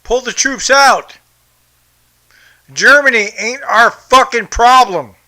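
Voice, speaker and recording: A man's voice: very high voice, slow pace, sharp tone. A man hosting a podcast, from the United States, ranting bluntly and profanely about politics. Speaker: podcast host. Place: home in Brazil.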